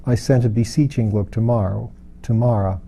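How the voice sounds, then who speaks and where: Very dark, mellow male audiobook narrator. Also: fairly low pitch, steady tone, moderate pace, vocal fry. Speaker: audiobook reader. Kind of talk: literary scripted narration of a fantasy novel.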